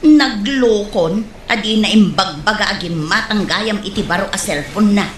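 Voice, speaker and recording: A woman's voice: medium pitch, moderate pace, a little hiss or hum, close to an everyday voice. A woman playing a naive, stubborn, nagging wife in a comedy drama, with an Ilocano accent. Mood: suspicious. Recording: echoey room.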